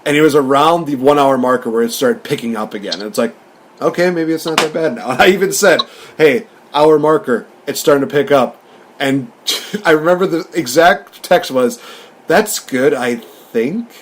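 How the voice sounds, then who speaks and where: A man's voice: fairly high pitch, normal speed, slight background noise. Casual man chatting about movies. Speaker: podcast co-host. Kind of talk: casual movie review chat.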